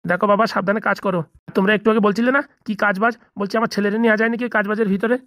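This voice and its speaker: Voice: mid-range voice, level tone, racing, fairly bright tone, no echo, clean studio sound, lightly acted. A performer playing a fretful, kindly middle-aged landlord and parent in a comedy cartoon, chatty and fussing, with a colloquial Bengali accent.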